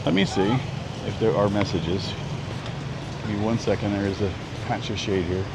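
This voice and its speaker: A man's voice: on the low side, steady tone, normal speed. A man in his fifties from Canada, chatting casually on a live travel vlog, rambling with lots of ums and restarts. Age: 50s. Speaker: travel vlogger. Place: outdoors at a busy market street. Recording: street traffic noise outdoors. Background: traffic and street noise.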